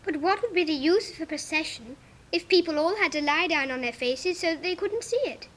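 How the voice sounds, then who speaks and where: A woman's voice: high voice, fairly quick, a bit bright. A young female performer playing a polite, self-assured little girl with a prim, forthright manner in a 1950s British audio drama.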